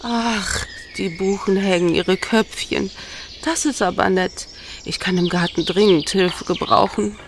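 A woman's voice: mid-range voice, steady tone, a little slow, creaky, noisy background. A woman voicing a cheerful old grandmother who mishears what she is told, in a children's radio play. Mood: chatty. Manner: mishears words, chuckling.

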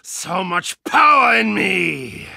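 A man playing a brash, violent, childlike soccer-hooligan agent in a video game, boisterous and swinging between muttering and yelling. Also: high-pitched, big pitch swings, slow pace, creaky, no echo, studio quality, heavily acted. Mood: bellowing, triumphant.

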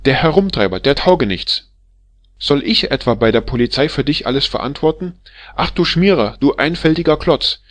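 A man voicing a shrill, furious middle-aged barber's wife who scolds and hurls insults at her husband in a storybook reading. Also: animated, moderate pace, fairly bright tone, no echo. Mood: furious scolding.

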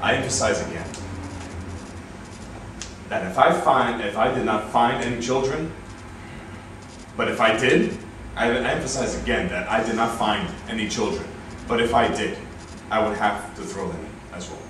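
A man's voice: lowish voice, normal speed, long pauses, a bit bright, lightly acted. A man playing a defensive, evasive Nazi work-detail leader under interrogation in a staged reading. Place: meeting room, staged reading at a table. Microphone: far camera microphone. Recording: very echoey room, far microphone, hiss.

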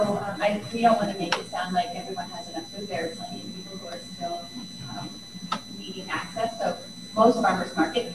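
A woman on a community panel, answering a question thoughtfully with fillers and pauses. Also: medium pitch. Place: large community hall. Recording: echoey hall, distant with hum.

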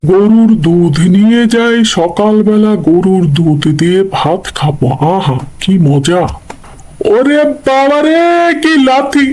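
A man's voice: sits high, animated, slow, a little mellow, rough recording. A man playing a greedy, scheming elder brother in a cartoon dub, with a sugary, persuasive, theatrical manner. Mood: smugly gloating, then alarmed, pleading.

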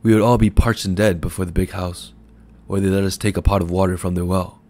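A man's voice: deep voice, fairly even intonation, fairly quick, darkish tone, lightly acted. A man voicing a poor, weary village man of about fifty who speaks meekly and pleads. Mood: complaining. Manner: meek, pleading.

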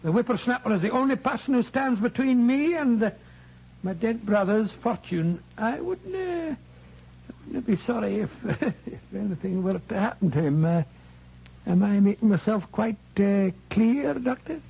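An actor playing a stammering doctor who poses as a scheming Scotsman, with a gravelly voice and a put-on Scottish accent, in 1940s radio acting. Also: high-pitched, lively intonation, normal speed, exaggerated voice. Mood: conspiratorial. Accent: put-on Scottish fading. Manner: hesitant, stammering. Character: Doctor Watson disguised as a Scotsman. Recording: dull old radio broadcast.

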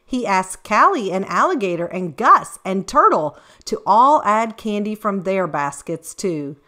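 A woman reading picture books aloud in an expressive, deliberate storytelling style for young children. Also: mid-range voice. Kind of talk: read-aloud picture book narration. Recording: music under the voice. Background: background music.

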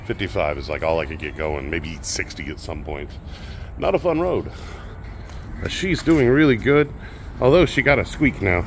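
A man in his forties with a fairly low voice, chatting loosely about his trip into a camera held at arm's length. His talk is relaxed and rambling. There is a light hum of traffic and outdoor air behind him. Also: moderate pace. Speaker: vlogger. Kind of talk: casual road-trip vlog. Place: outdoors and inside a car. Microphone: phone or action camera at arm's length. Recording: faint outdoor and traffic noise.

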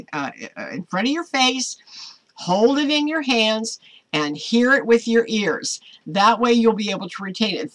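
An older American woman speaks to her online Bible study group in an informal devotional style. Her delivery is deliberate and halting, with phrases sometimes drawn out, and her pitch sits in a middle range for a woman. She is recorded at a desk in a small home office. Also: fairly bright tone, rough recording. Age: older, around 70s. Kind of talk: informal devotional talk to camera with scripture reading.

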